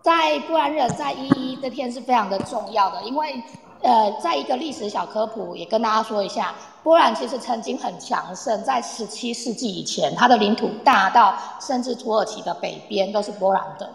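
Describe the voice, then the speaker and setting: A woman explains things informally to listeners, in a teacherly way. Her voice is fairly high with a creaky, fry-laden edge. The sound is echoey, with long reverb. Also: brisk. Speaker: guest speaker explaining Polish culture. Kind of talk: informal explanatory talk to a live online audience. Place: live online audio room, reverberant sound.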